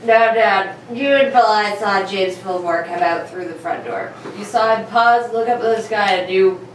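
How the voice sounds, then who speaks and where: A woman's voice: medium pitch, normal speed, close to an everyday voice. Lady as thoughtful detective guy asking questions, old radio play, sounds far from mic, very echoey. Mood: probing, thoughtful. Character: bedridden young male amateur detective, thoughtful and probing. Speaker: amateur radio play performer. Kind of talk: 1940s radio play read for laughs. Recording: distant mic, very echoey room, faint noise.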